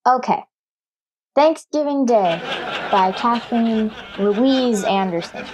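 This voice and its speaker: A woman's voice: on the high side, expressive. An earnest little American schoolgirl. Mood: earnest, reciting. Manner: deliberate recitation, stubborn. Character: earnest, plucky fourth-grade schoolgirl, easily put out. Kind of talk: old-time American radio sitcom acting. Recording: noisy old radio recording.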